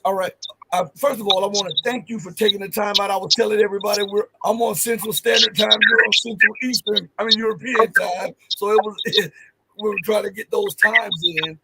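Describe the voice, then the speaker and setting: A man's voice: high voice, steady tone, brisk, very noisy. A man hosting a live stream interview, speaking emphatically with fillers. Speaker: host.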